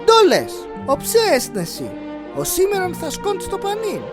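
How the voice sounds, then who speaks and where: A woman puts on an excitable youngster playing sailor in a children's cartoon. The voice is high and very lively in pitch, with a Pontic Greek accent. Music plays clearly behind it. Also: heavily acted. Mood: playful, excited. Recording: voice under prominent music.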